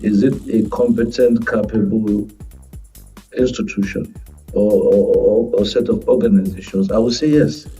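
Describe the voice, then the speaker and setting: A man with a Nigerian accent giving formal analysis as a panel guest, in measured, wordy, halting phrases. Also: on the low side, fairly even intonation, dark tone. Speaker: guest governance commentator. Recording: muffled distant microphone, faint music behind.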